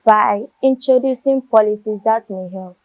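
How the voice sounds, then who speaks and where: Girl student, earnest, slow to find words, dry close audio. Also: fairly high pitch, dry recording, low-quality recording. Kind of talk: answering in a discussion.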